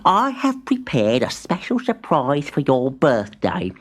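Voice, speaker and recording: Woman acting a slow, sly old granny. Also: deep voice, wildly swooping pitch, full character voice. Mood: affectionate. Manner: cackling, deliberate. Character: sly, affectionate old granny, pleased with herself. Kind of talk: storybook character voice.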